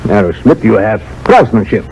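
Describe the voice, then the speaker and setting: An actor playing a stern, exacting older scientist professor, deliberate and dryly pedantic, in 1930s radio drama style. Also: male voice, on the low side, very animated, a little mellow, dull audio. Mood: approving, pedantic. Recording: noisy vintage 1930s radio broadcast.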